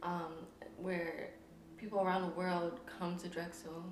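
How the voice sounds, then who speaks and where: A woman's voice: lowish voice, measured pace. Young woman chatting in an echoey room. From Philadelphia. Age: young adult. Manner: relaxed storytelling, laughing. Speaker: guest, host's best friend. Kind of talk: casual chat. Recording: echoey room, background noise.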